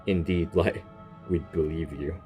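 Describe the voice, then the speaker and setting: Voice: low-pitched, steady tone, a little slow, mellow. A gamer giving casual, playful and slangy reaction commentary over a game's story. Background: game music.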